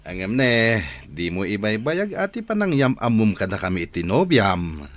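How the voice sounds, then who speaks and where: A man's voice: on the low side, big pitch swings, moderate pace, a bit bright, no room sound. A man playing a friendly, emotional working man in an Ilocano audio drama, with an Ilocano accent. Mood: weary, teasing. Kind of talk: radio drama acting.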